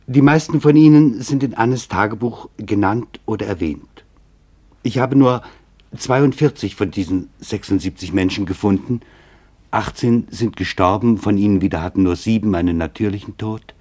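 A man from Germany reads scripted literary documentary narration as its author, solemn and deliberate, with rhetorical phrasing. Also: fairly low pitch, warm tone, husky.

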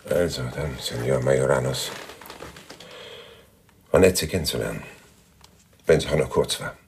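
Weary private detective, extremely low husky voice, bitter, measured, hard-boiled radio drama. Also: male voice, exaggerated voice. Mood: dry farewell. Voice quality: gravelly, husky. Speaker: lead actor and narrator in a radio crime drama.

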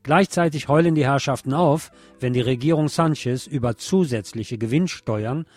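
A man's voice: mid-range voice, moderate pace, a little mellow, no room sound. A man presenting radio news with sardonic, opinionated commentary. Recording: music bed under the voice. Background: music.

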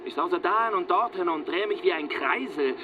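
An actor in a German radio crime drama playing a harried, proud, defensive husband on a telephone call. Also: male voice, sits high, animated, normal speed. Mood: defensive, irritated. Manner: defensive, insistent. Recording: noisy wiretapped phone call.

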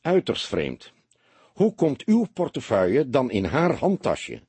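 A laconic male detective as a man reads him in an audiobook. He is calm and unhurried, with a dry, needling politeness and a husky voice in a very dry recording. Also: medium pitch, no echo, heavily acted. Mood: laconic, pressing. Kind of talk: audiobook character voice.

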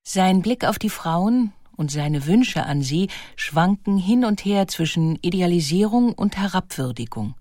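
A woman reading scripted documentary narration in a measured, articulate way. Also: on the low side, lively intonation, mellow, no room sound, studio quality.

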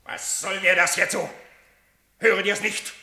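A man's voice: high voice, flat delivery, a little slow, creaky, bright, forceful tone, voice pushed up, full character voice. A male narrator voicing an angry, impatient lion king with an irritable, indignant tone. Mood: irritated. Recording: echoey room.

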